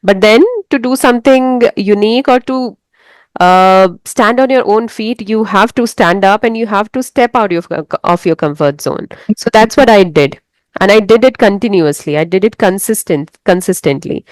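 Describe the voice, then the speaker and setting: An Indian woman with an Indian English accent, a Hindi speaker and English teacher, hosting a casual interview in a calm, encouraging way. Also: medium pitch, normal speed, dry recording, rough recording. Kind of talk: casual video-call interview.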